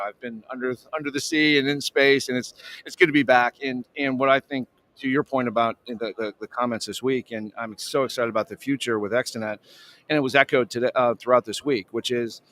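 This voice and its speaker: Fast-talking, enthusiastic man. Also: mid-range voice, fairly bright tone. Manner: enthusiastic, effusive. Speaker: interview guest, telecom executive. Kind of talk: casual live interview.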